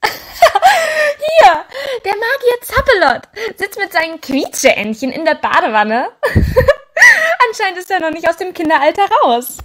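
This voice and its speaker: A woman's voice: very high voice, big pitch swings, measured pace, exaggerated voice. A woman playing a cheerful, curious little witch girl in an audio drama, childlike and chatty.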